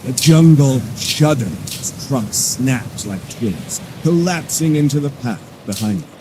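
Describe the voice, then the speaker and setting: A man's voice: mid-range voice, animated, slow pace, background noise. A man narrating an action audio drama from a script in a dramatic storytelling style.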